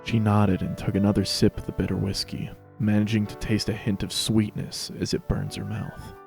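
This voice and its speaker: A man's voice: lowish voice, level tone, normal speed, creaky, darkish tone, soft background music. A man narrating a dramatic reading of fan fiction.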